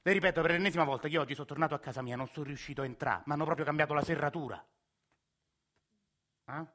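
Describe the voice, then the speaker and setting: A man's voice: fairly high pitch, quick pace, fairly bright tone. An exasperated Roman family man. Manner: exasperated, insistent. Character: indignant working-class Roman family man. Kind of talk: radio theatre acting.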